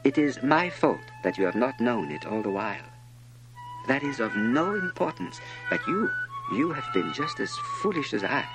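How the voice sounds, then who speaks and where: A man's voice: mid-range voice, sing-song, normal speed, over music, close to an everyday voice, rough recording. A man voicing a proud, tender female flower who holds back emotion, as in a storybook. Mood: loving, regretful.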